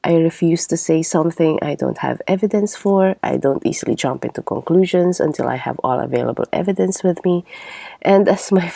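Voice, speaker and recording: A woman in her thirties hosting a podcast, delivering a reflective scripted monologue in a measured, articulate way. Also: low-pitched, gravelly, mellow, no echo. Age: 30s. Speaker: podcast host.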